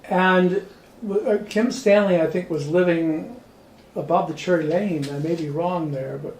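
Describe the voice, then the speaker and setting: Male interviewer, slightly high voice, halting questions; echoey room, far mic, faint noise. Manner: probing, tentative questions with restarts. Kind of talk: oral history interview.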